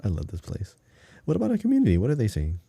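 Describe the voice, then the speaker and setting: A man's voice: on the low side, huge pitch swings, racing, creaky, warm tone, breathy edge, no room sound. A man co-hosting a podcast, chatting casually about a video game in an enthusiastic, emphatic way. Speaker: podcast co-host.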